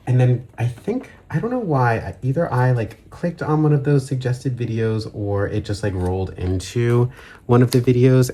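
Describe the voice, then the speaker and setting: Male hobby-channel host, low register, getting over a cold, casual Q&A chat, faint background noise. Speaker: YouTube channel host, collector. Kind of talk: casual chatty vlog with Q&A.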